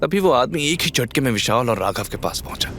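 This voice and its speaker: A man's voice: mid-range voice, expressive, a little fast, fairly bright tone, faint music behind. A man narrating a scripted audio drama story with dramatic delivery.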